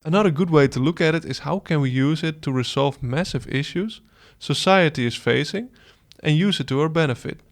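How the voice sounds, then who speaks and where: A man's voice: fairly high pitch, brisk. A man in his twenties co-hosting a student podcast with scripted presenting. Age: 20s.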